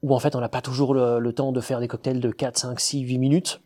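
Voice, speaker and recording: A man's voice: medium pitch, level tone, fairly quick, darkish tone. Hesitant, enthusiastic man explaining his craft. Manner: hesitant, many fillers and restarts. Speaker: distiller, guest. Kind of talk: casual interview talk.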